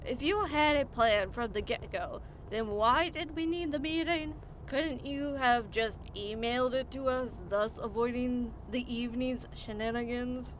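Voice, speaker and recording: A woman's voice: fairly high pitch, unhurried, hardly any pauses, slight background noise, lightly acted, low-quality recording. A woman playing a dry, defiant, sarcastic supervillain pilot in a comedic radio play. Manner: sardonic, matter-of-fact.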